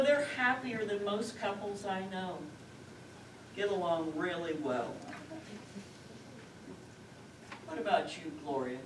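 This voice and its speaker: A woman acting a long-suffering older sister in an amateur stage play, dry and matter-of-fact. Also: on the low side. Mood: frank. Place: lakeside community theater stage, picked up from afar. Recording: distant echoey stage recording, clear noise.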